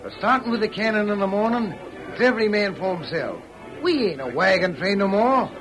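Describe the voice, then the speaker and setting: Old radio western settler, high voice, firm and folksy, music behind. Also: male voice, expressive, a little fast, rough recording. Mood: firm, regretful. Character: unyielding wagon-train settler, regretful but firm.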